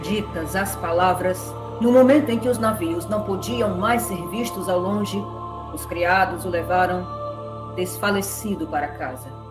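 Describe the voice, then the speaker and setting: A woman's voice: on the low side, unhurried, reverberant. A woman narrator reading a scripted epic story in a dramatic storytelling style. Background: music.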